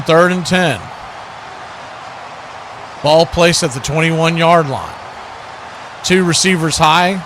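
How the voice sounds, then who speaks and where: A man hosts a live football broadcast, calling the action in short, clipped phrases. His voice sits a bit high and is bright and forceful, with faint noise behind it. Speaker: play-by-play host of a football watch party. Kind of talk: live sports play-by-play commentary.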